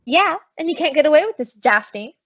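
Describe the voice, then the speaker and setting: A woman's voice: high voice, very animated, normal speed, fairly bright tone, dull audio. A woman playing a brash, plucky young wisecracking blonde in old-time radio comedy, slangy and indignant. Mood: defiant.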